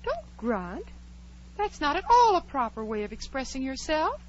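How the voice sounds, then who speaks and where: A woman's voice: sits high, very animated, moderate pace. An actress playing a polite, earnest and fretful little girl with proper diction, in 1940s radio drama style. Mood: prim. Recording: muffled, noisy old radio recording.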